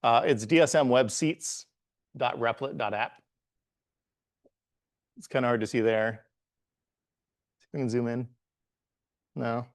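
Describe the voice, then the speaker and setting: A man in his forties, originally from the Bahamas, presents at a tech meetup in a mid-pitched voice. He speaks in an easygoing, halting way, often pausing on "uh" and restarting his sentences as he walks through slides. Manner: relaxed, halting, many "uh" fillers and restarts. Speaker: guest presenter, software engineer.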